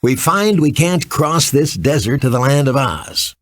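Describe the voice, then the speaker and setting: A man playing a kindly, confident shaggy wanderer in a children's storybook, reassuring and deliberate. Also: mid-range voice, big pitch swings, dry recording, pitched above the performer's own voice.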